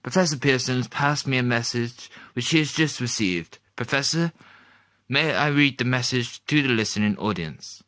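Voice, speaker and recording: A man's voice: mid-range voice, moderate pace, pushed and bright, no echo, natural voice. A man playing an eager radio reporter in an old radio drama, announcing formally to listeners. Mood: brisk, urgent. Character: eager radio reporter on live outside broadcast. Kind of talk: 1930s radio drama acting.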